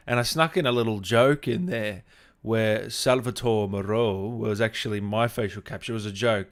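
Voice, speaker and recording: A man hosting a livestream, chatting informally and jokingly with his viewers in a matey way. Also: on the low side. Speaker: livestream host reading viewer comments. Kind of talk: casual livestream chat with viewers.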